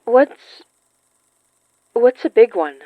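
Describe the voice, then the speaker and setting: A polite, quietly determined young sorceress in a horror audio drama. She speaks slowly and softly with dry wit. The sound is dry and a little dull. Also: on the high side, lively intonation, no echo. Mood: polite, searching. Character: young magic-wielding woman, polite, wry, quietly determined.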